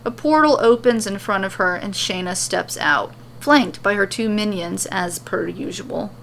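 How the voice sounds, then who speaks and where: Creaky-voiced woman narrating a story. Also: mid-range voice, unhurried, a bit bright, a little hiss or hum. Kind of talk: expressive scripted narration of fan fiction.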